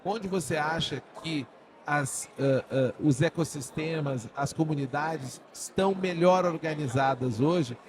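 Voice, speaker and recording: Brazilian podcast host, rambling questions, slightly high voice, audible noise behind. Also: male voice, measured pace. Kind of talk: podcast interview. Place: studio setting.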